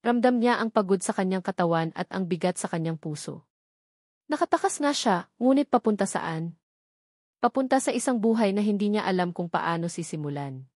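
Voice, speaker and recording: A woman reading a romantic drama aloud as its narrator, earnest and measured. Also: mid-range voice, lively intonation, darkish tone, no echo, studio quality. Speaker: storyteller.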